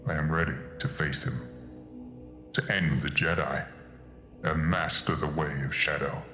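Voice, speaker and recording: Man acting as solemn young dark acolyte, bass-deep, brooding, fervent, echo, faint music, audio drama. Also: steady tone, unhurried, heavily acted. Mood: fervent, devoted. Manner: solemn, intense, devoted. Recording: echoing voice over faint music.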